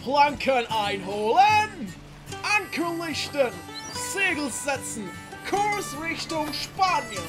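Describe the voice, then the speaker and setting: Scheming sea captain for kids' audio drama , played by a woman: unhurried, stern orders. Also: sits high, big pitch swings, music in the background, exaggerated voice, rough recording. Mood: commanding. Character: commanding, scheming male pirate captain. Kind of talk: children's audio play character acting.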